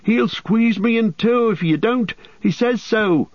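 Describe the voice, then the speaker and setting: Guy doing a frantic young hobbit, high pleading cries, storybook reading, dull audio. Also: measured pace, dry recording. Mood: terrified pleading. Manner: urgent, crying out.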